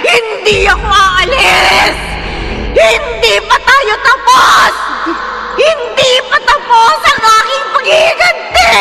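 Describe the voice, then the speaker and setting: A woman's voice: very high voice, slow pace, shouting, long reverb tail, loud music behind the voice, over a noisy crowd, heavily acted. Shrieking, vengeful female ghost. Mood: shrieking rage. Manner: shrieking, wailing, defiant. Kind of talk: horror radio drama acting.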